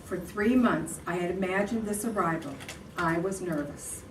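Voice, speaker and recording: A woman's voice: fairly low pitch, moderate pace. A woman reading a first-person story aloud at a live reader's theater, recorded from a distance in a hall. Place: clubhouse hall, live performance. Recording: echoey hall, distant mic, faint noise.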